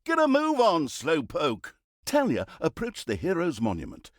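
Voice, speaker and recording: An actor playing a theatrical, mock-pompous narrator of villainous deeds in a comic video game, with a sardonic, mocking manner. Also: male voice, a little high, wildly swooping pitch, normal speed, no room sound, studio quality. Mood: sardonic scolding.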